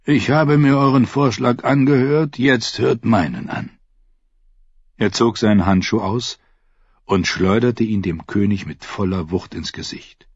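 A man's voice: fairly low pitch, unhurried, slightly rough, no room sound, muffled recording. A man voicing a scornful old knight. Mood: defiant. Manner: contemptuous, mocking.

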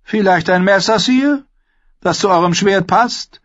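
Sardonic, high-pitched dwarf nobleman. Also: unhurried, a bit bright, no echo, highs cut off. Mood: guarded, wry. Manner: grumbling, wry. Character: sardonic, bitter dwarf nobleman, adult man. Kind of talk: fantasy audiobook character acting.

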